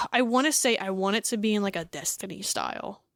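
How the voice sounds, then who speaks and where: A man co-hosting a casual podcast, thinking aloud with hesitant pauses and fillers. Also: sits high, creaky, a bit bright, dry recording, clean studio sound. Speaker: podcast co-host. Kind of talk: casual chat.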